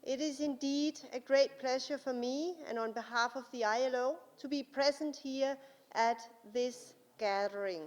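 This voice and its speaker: A woman with a Danish accent, a native Danish speaker, giving a formal conference speech as a guest speaker. She talks slowly and deliberately, pausing with frequent fillers. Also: sits high, darkish tone. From Denmark. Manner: deliberate, slow and careful, with frequent uh pauses. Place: large conference hall. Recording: long hall reverb.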